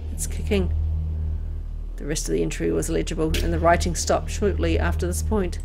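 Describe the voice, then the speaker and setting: A woman reading a horror visual novel aloud in an expressive, dramatic storytelling way. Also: sits low, fast talker, vocal fry. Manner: expressive, acting out dialogue. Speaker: gameplay video narrator. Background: music.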